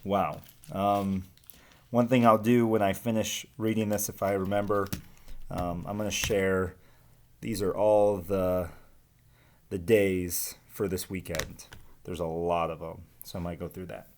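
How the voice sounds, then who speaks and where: A man speaking casually as a teacher to children, pausing between short phrases. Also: deep voice, level tone, darkish tone, slight background noise. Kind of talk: casual talk and children's read-aloud.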